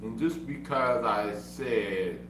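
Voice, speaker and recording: Slow, insistent man who stutters. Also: medium pitch. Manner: repetitive, insistent, self-defending. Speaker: streamer. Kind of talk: casual livestream monologue. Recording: echoey room, far from mic, humming noise.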